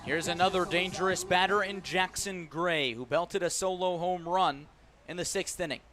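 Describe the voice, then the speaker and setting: High-pitched male baseball play-by-play announcer. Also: normal speed, crowd noise. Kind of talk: live baseball radio commentary. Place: outdoor ballpark broadcast.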